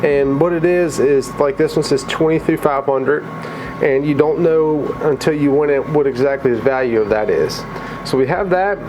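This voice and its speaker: A man with a cold, a little raspy and tired, chatting casually through a coin pusher vlog in an arcade. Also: a little high, normal speed, background noise. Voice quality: slightly mumbled from a lozenge. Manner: chatty, excitable at wins. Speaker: vlogger.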